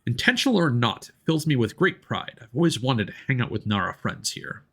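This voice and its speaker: A man voicing a wise, gentle old forest spirit guardian from a video game, with formal, measured speech. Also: mid-range voice, big pitch swings. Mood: proud.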